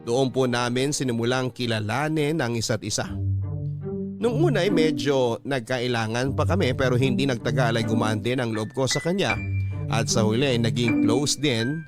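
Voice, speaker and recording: A man's voice: mid-range voice, moderate pace. A man reading a first-person story as a radio drama narrator. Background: background music.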